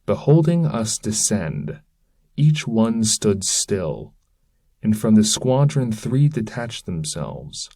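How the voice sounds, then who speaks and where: Slow, mellow man reading epic poetry. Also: lowish voice, very animated, creaky, husky. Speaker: reader. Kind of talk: dramatic poetry reading, scripted narration.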